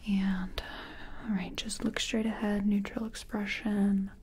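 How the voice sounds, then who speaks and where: A woman speaking in a breathy, mostly whispered voice, gently murmuring and hesitant, as a makeup artist in a personal-attention ASMR roleplay. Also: mid-range voice, level tone, measured pace.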